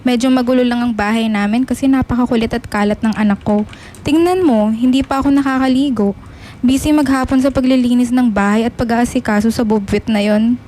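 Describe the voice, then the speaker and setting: Actress as high-voiced, chatty young mother. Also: steady tone, brisk, mellow, slight background noise, natural voice. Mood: friendly. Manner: easygoing, welcoming. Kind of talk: Filipino radio drama acting.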